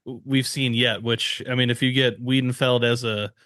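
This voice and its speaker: A man's voice: mid-range voice, steady tone, moderate pace, a bit bright, no echo. A man co-hosting a podcast, talking sport in a casual, slangy and agreeable conversational way. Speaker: podcast co-host. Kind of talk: casual sports chat and analysis.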